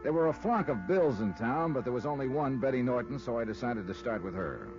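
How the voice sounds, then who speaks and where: An actor with an American accent playing a wry, sardonic investigator in 1950s radio detective style. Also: male voice, mid-range voice, sing-song, brisk. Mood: wry narration. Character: wry, hard-boiled male insurance investigator. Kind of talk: 1950s radio detective acting, first-person narration. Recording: muffled old radio recording with music.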